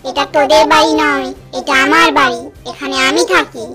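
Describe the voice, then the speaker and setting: A woman voicing a vengeful, restless ghost in a cartoon horror story, speaking in a slow, menacing way. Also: high-pitched, steady tone, bright, forceful tone, soft background music. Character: vengeful restless ghost haunting an old forest house.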